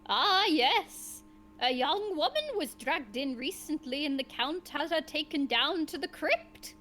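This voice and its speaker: Woman putting on a sharp, grumpy old-fashioned male sage. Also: high voice, lively intonation, unhurried, slight background noise, voice pushed up, heavily acted. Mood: musing, fond. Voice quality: wavering, sharp voice.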